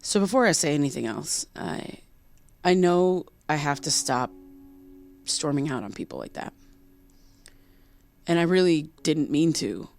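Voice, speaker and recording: A woman playing an anxious young woman in a naturalistic audio drama, halting and self-conscious as she confides. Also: low-pitched, expressive, creaky, a little mellow, heavily acted. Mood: uneasy, confessional. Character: anxious young woman confiding a haunting dream, apologetic, rattled. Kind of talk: naturalistic audio drama acting with confessional narration.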